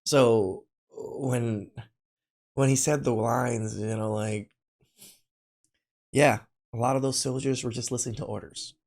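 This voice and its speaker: A man's voice: mid-range voice, fairly even intonation, measured pace, gravelly, long pauses, darkish tone. A man from Cambodia hosting a reaction podcast, commenting casually and earnestly, often repeating himself. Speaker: podcast host reacting to a video.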